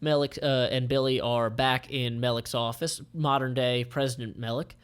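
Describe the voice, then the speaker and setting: A man narrating a comic informally, halting and offhand as he describes what happens. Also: medium pitch, fairly even intonation, clean tone. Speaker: comic co-creator and reader.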